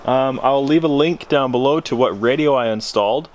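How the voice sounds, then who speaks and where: A man's voice: medium pitch, normal speed. A man talking informally in a casual DIY vlog, thinking aloud as he works inside a vehicle. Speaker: vlogger. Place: vehicle cabin.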